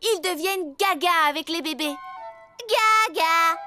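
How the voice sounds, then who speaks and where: A woman's voice: very high voice, measured pace, over loud music. A woman voicing a bossy, know-it-all young animal girl in a French cartoon dub, speaking with knowing emphasis.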